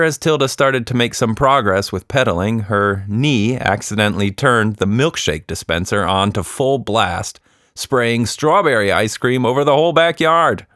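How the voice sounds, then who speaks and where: A man narrating a scripted children's story in an expressive, dramatic storytelling manner. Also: medium pitch, no room sound, clean studio sound.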